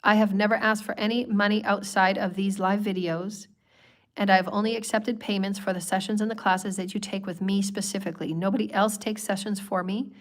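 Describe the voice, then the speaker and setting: A woman hosting a spiritual livestream, hoarse from a cold, talking in long flowing strings of repeated phrases. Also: mid-range voice, flat delivery, fairly quick. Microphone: livestream microphone.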